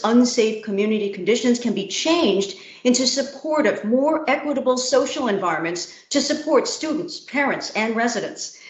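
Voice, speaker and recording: Woman presenter with a higher voice in an echoey room. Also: dull audio. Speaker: event host. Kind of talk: scripted presentation.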